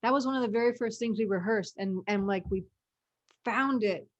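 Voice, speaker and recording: Woman chatting casually, medium pitch. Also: moderate pace, highs cut off. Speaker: cast member of an audio drama. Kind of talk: casual panel chat.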